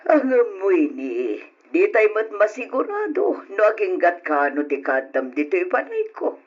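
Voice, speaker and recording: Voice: medium pitch, wildly swooping pitch, unhurried. A performer voicing a stern rich lady employer who scolds and accuses, in an old radio drama. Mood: scolding. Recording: dull old radio recording.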